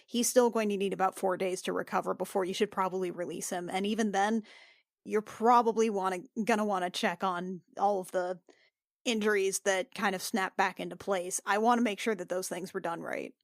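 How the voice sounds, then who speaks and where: Female healer adventurer woman acting, halting, anxious, insistent, earnest, medium pitch, dry sound. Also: steady tone, gravelly, no room sound, close to an everyday voice.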